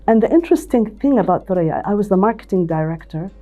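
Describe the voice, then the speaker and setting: A woman's voice: mid-range voice, expressive, fast, phone-quality sound, soft background music. An older woman from Ethiopia, a company CEO, telling her life story in an interview with many restarts and repeated words. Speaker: CEO and managing director, interview guest.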